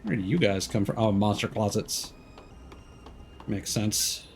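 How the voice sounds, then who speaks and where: A man doing casual live commentary as a video game streamer, thinking aloud with hesitant pauses mid-sentence. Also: lowish voice, slight background noise.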